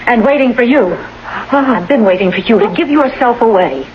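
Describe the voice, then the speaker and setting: A woman's voice: fairly high pitch, animated, normal speed, close to an everyday voice. A woman playing a shrewd, assertive accuser, firm and pointed, in theatrical 1980s radio drama style. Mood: triumphant, accusing. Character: shrewd, assertive woman exposing a would-be murderer. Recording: dull radio recording, faint noise.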